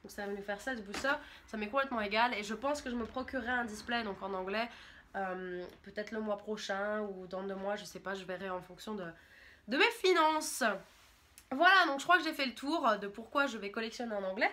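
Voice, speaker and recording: Bright, chatty young Frenchwoman. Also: mid-range voice, fairly quick, slight background noise. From France. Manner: chatty, rambling, enthusiastic. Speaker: YouTuber. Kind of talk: casual vlog monologue.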